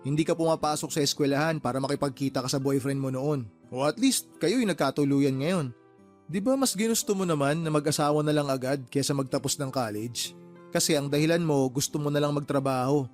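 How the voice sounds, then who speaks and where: A man's voice: a little high, a little fast, faint music behind, natural voice. A man playing a sensible, frank younger brother who chides in a Filipino radio drama. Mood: reproving. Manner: frank, chiding, matter-of-fact.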